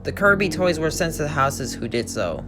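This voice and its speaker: A man's voice: a little high, very animated, normal speed. A young man reading a creepypasta aloud in an amateur way, stumbling and correcting himself, then chatting casually about it. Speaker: creepypasta reader. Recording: music playing behind the voice.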